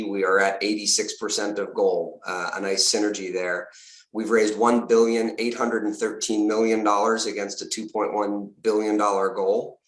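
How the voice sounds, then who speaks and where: A slightly hoarse man, a university fundraising executive, giving upbeat prepared remarks on a video call with frequent uh fillers. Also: fairly low pitch, fairly bright tone. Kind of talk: prepared remarks at a virtual donor event. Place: home or office on a video call. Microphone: video call mic. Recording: roomy video call audio.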